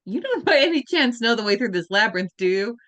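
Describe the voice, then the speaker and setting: A woman's voice: fairly high pitch, big pitch swings, quick pace, fairly bright tone. A woman voicing a determined, impatient teenage girl who answers sharply. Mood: puzzled. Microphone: video call.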